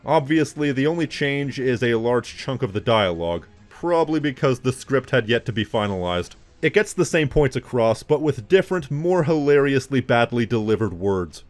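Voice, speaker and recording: A man's voice: medium pitch, sing-song, normal speed, hardly any pauses, soft background music. A man delivering scripted video commentary as an essayist, with snide, wry asides.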